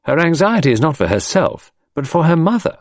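A man plays an earnest, smitten gentleman from a storybook. He is naive and excitable, his pitch jumping up and swinging freely, in a dry, close recording. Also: a little fast, no room sound. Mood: indignant. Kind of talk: audiobook character voice.

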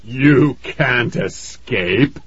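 A man's voice: on the low side, slow pace, slightly rough, no room sound, lo-fi sound. A man voicing a vengeful male ghost villain in a children's horror audiobook, menacing and declamatory. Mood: cold menace.

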